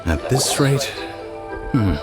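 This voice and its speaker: Kindly game npc craftsman, explains stuff patiently, very animated pitch, music behind. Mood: helpful. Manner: affable, measured, instructive, chuckling. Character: friendly, patient male lantern craftsman villager. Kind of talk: video game voice acting.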